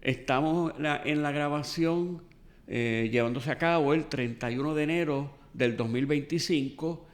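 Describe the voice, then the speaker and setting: A man's voice: a little high, unhurried. A man with a Puerto Rican accent hosting an oral history interview, speaking formally and courteously. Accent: Puerto Rican Spanish. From Puerto Rico. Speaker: interviewer, archive director. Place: boardroom.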